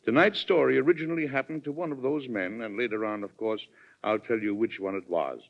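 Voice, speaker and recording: An American actor playing a courteous, reluctant wartime president with measured dignity in a 1940s radio drama. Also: male voice, medium pitch, vocal fry, close to an everyday voice. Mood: genial, reflective. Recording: dull old radio transcription, music behind.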